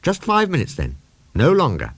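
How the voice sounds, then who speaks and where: A man as old male steam engine, proud, indignant, grumbling, very animated storybook delivery, dry sound. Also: fairly high pitch, normal speed, slightly rough, no echo, voice pushed up, heavily acted. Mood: reminiscing. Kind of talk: children's audiobook storytelling.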